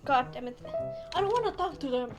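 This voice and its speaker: A woman's voice: high-pitched, expressive, fairly quick. A woman streaming a game, reacting casually and bluntly with swearing and muttered asides. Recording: game music loud behind the voice. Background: game music and dialogue.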